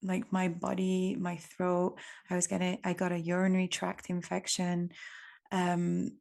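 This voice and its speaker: A woman from England with an English accent, hosting a reflective solo podcast in a gentle, unhurried, contemplative way. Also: fairly low pitch, completely flat delivery, gravelly. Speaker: podcast host.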